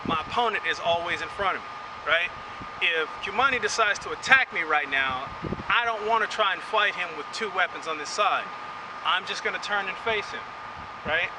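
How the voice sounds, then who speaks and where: Guy teaching karate outside, high-ish voice, keeps saying right?, mic kinda far, loud wind n trucks. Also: normal speed. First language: English. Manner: chatty, keeps adding "right?". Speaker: karate instructor. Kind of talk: outdoor martial arts lesson. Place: outdoors in wind and passing traffic. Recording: windy outdoor audio, distant mic. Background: wind and passing vehicles.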